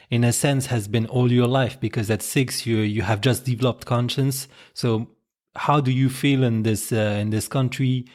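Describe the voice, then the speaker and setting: Man hosting a podcast with long questions. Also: medium pitch. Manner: warm, respectful, long rambling questions. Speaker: podcast host. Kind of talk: interview host.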